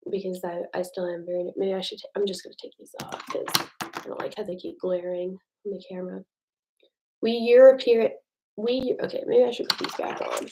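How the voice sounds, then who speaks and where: A woman's voice: mid-range voice, fairly even intonation, a little fast, background noise. A woman from the United States chatting casually with viewers on a livestream, reading their comments aloud and joking at her own expense. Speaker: livestream host. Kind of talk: casual live chat with viewers. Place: home at night.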